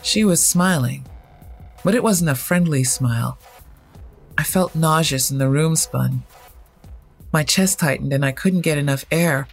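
A storyteller with a husky voice, narrating first-person horror fiction in a measured, dramatic way. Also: mid-range voice, soft background music.

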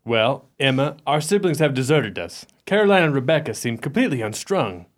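A man acting in a radio play as a smooth-talking, coolly self-assured and sinister brother, formal and courtly. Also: mid-range voice, animated, brisk, slight background noise, close to an everyday voice. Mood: coolly evasive.

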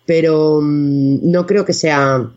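A woman giving thoughtful interview answers in a hesitant way, pausing to search for words. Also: sits low, steady tone, a little mellow, dull audio. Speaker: interview guest, audio fiction maker.

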